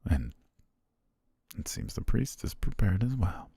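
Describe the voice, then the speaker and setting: A man playing a possessive, lovestruck butler in an ASMR romance roleplay, speaking formally in a hushed, breathy voice. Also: on the low side, very animated, unhurried, no echo, heavily acted. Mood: tender, possessive. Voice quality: husky, breathy, near-whisper. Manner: formal, ornate, hushed diction.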